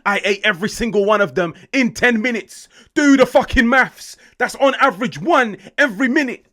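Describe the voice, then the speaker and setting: A British man in his late twenties, hosting an excited football reaction, swearing often and swinging between loud outbursts and hushed asides. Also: very high voice, normal speed. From United Kingdom. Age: 20s. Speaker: football channel host.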